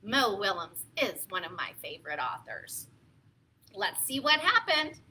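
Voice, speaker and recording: A woman hosting story time, reading aloud and talking to young children in an encouraging, expressive way with simple phrasing. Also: mid-range voice, a little slow, slight background noise.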